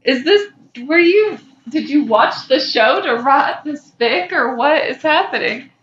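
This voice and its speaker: A woman's voice: sits high, expressive, measured pace, fairly bright tone, slight background noise. A woman co-hosting a podcast in casual chat, recorded with a dull, roomy sound. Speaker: podcast co-host.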